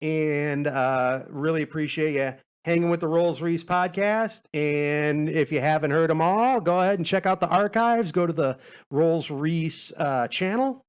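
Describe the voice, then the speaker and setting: A man's voice: a little high, a little slow, clean tone. A man hosting a podcast, chatting casually in a laid-back, informal, rambling way. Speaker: podcast host. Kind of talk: casual rambling solo podcast sign-off chat.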